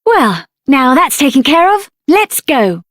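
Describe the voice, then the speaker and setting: A woman voicing a headstrong young female mage adventurer in a video game, with an assertive, sassy and expressive delivery. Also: high voice, slow pace, no room sound, clean studio sound, heavily acted. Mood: earnest, determined. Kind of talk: video game character voice acting.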